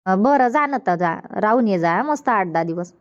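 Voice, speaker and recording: A woman's voice: medium pitch, lively intonation, normal speed, no echo, muffled recording, lightly acted. A woman voicing a middle-aged mother in a comedy cartoon, giving firm instructions with a Varhadi Marathi accent. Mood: permissive. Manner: bossy, instructive, scolding gently.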